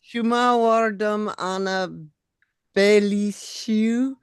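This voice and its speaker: Very slow, clear-voiced woman reading. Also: medium pitch, no echo. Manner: careful, halting reading aloud. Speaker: student.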